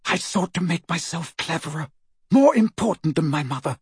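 A man's voice: on the high side, sing-song, normal speed, breathy edge, dry recording, clean studio sound, voice pushed up, exaggerated voice. A man voicing a proud, haughty young woman ghost in an audiobook, speaking in a stiff, formal, guarded manner. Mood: confessional, sorrowful.

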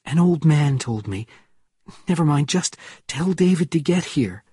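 Anxious, determined heroine, read by a man: higher pitched, breathy rasp, urgent and blunt, audiobook thriller. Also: animated, unhurried, warm tone, no room sound, studio quality, exaggerated voice. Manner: urgent, direct. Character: determined, anxious younger woman demanding answers urgently.

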